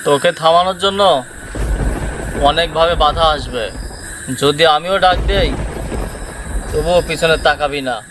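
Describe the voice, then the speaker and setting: A stern uncle in a horror tale, played by a man, cautioning and giving orders. His voice is a little high, with clear background noise underneath. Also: unhurried, pauses often, lightly acted. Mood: warning. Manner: cautioning, commanding. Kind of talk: horror story character voice.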